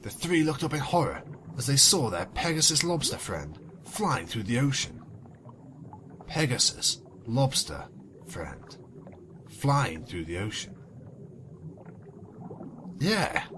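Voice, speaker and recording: A man's voice: mid-range voice, sing-song, slow pace, long pauses, fairly bright tone, slight background noise. A man reading fan fiction as a narrator, theatrical, with sarcastic, wry asides. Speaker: narrator and commentator.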